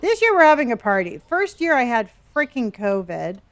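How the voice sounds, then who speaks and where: A woman's voice: a little high, very animated, moderate pace. A woman in her early sixties chatting casually with her live-stream viewers while playing a game, reading their messages aloud and often trailing off and restarting. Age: 60s.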